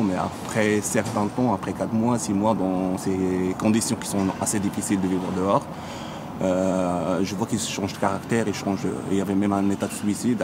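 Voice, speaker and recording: A man in his thirties from Morocco speaks earnestly in a testimonial, halting and restarting. His voice sits low and stays flat. Clear background noise runs under the whole recording. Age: 30s. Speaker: shower team leader, interpreter. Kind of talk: testimonial interview.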